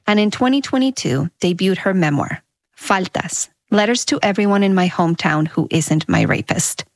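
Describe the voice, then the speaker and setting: A woman radio host narrating a scripted news story in a measured, clear way. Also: fairly low pitch, sing-song, no echo.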